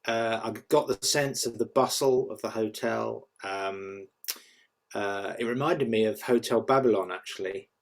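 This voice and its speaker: A man's voice: lowish voice, measured pace. A man giving casual feedback on a script as a reader, hesitantly circling back over his phrases.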